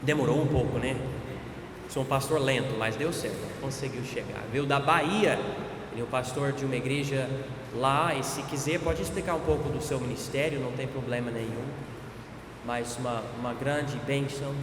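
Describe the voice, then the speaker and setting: A man's voice: mid-range voice, normal speed. A man with an American accent, the host pastor, introducing a guest preacher in a friendly, joking way. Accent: American-accented Portuguese. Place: church hall. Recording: echoey hall with reverb and background noise.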